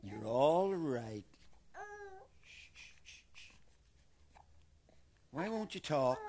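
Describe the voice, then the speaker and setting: An actor with a Northern Irish accent, from Belfast, playing a paranoid, bigoted older grandfather who talks in a deadpan, matter-of-fact way. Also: a little high, slight background noise. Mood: wheedling, menacing. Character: paranoid bigoted old grandfather, defensive and unhinged. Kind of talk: comedy sketch acting.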